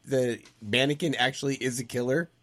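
A man's voice: medium pitch, fairly even intonation, brisk, a bit bright. A man on a panel podcast, giving a hesitant, giggly take full of fillers. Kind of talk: casual chat.

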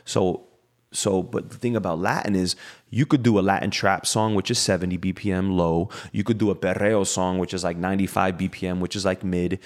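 A man's voice: low voice, fairly even intonation, fairly quick. A young man from Miami, a DJ, talking loosely in a casual podcast chat, rambling with lots of fillers and swearing freely.

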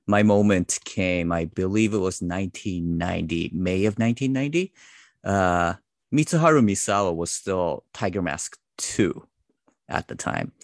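Interview guest, male, 40s: very low and gravelly, easygoing, self-deprecating, dry close mic. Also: no echo. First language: English. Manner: relaxed, self-deprecating, many fillers. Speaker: interview guest, wrestling translator. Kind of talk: casual interview chat.

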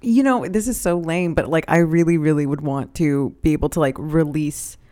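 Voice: medium pitch, normal speed, warm tone. A podcast guest who works as a writer, chatting casually and with enthusiasm, laughing often.

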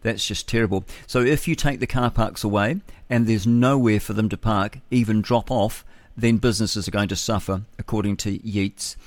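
A man's voice: lowish voice, fairly even intonation, normal speed, hardly any pauses, dry recording. A man hosting morning radio, chatting his way through the news with offhand asides and fillers. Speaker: radio host. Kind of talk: casual news commentary.

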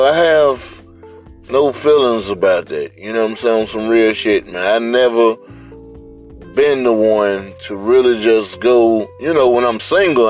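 Male podcast host, Southern US accent, unhurried mid-pitched delivery, blunt street slang, light music bed. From US South, Atlanta area. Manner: blunt, slangy, heavy swearing. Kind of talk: casual unscripted monologue.

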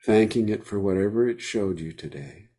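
A man leading a guided meditation, speaking gently with unhurried pauses between phrases. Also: fairly low pitch, lively intonation.

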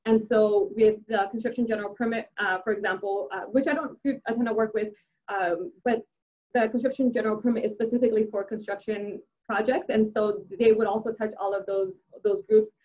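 A woman's voice: mid-range voice, steady tone, a little fast, darkish tone, dull audio. A young adult woman, a native Spanish speaker, answering interview questions casually with many restarts and fillers. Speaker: civil engineer guest.

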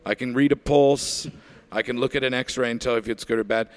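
Shady doctor for a parody radio play, man improvising: mid-pitched, casual, sheepish, evasive. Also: steady tone, fairly quick, gravelly, close to an everyday voice. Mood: furtive. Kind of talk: improvised comedy acting.

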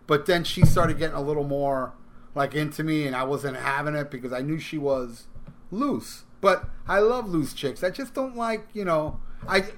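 A man's voice: fairly high pitch, a bit bright. Rambling, crude middle-aged man telling a story. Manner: rambling, profane, stumbling restarts. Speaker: podcast host. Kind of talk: casual storytelling. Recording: music playing clearly underneath.

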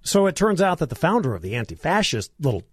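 Hoarse male talk radio host, combative and sarcastic, voice pitched a bit high, dry studio sound. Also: sing-song, quick pace, dry recording. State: hoarse, coughing.

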